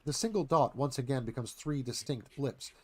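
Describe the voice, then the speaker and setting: A man's voice: medium pitch, moderate pace. A man reading dramatic scripted narration as screenplay narrator, in clipped phrases.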